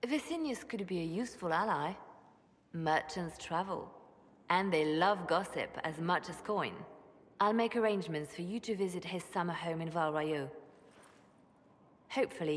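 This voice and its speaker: An actress playing a shrewd, composed female spymaster in a video game, measured and quietly resolute. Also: fairly low pitch, lightly acted. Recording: long reverb of a stone hall.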